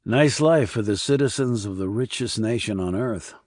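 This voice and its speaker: A skeptical military investigator, played by a male narrator from a crime audiobook. He speaks in a low voice, terse and sardonic. The recording is dry and close. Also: moderate pace, darkish tone, dry recording, close to an everyday voice. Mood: terse, probing. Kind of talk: audiobook character dialogue.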